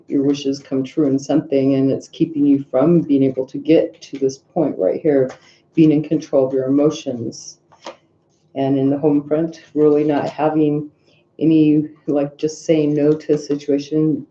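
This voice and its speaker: A woman's voice: deep voice, warm tone, a little hiss or hum. A woman with a nasal voice and blocked sinuses, giving a casual, rambling tarot reading full of fillers and little hums. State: congested sinuses. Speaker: tarot reader. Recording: echoey room, dull lo-fi sound.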